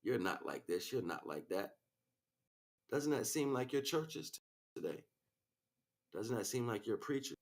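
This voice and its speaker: A man's voice: mid-range voice, sing-song, a little slow, pauses often. A man livestreaming an informal spiritual lecture, speaking off the cuff with emphatic repeated phrases and frequent check-ins with his listeners. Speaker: livestream host, spiritual teacher.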